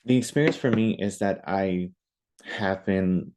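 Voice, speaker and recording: A man's voice: deep voice, unhurried, a little mellow, slight background noise. A young man who teaches math, a native Spanish speaker, talking about his teaching in a casual, hesitant way with many fillers and restarts. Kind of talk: casual spoken presentation.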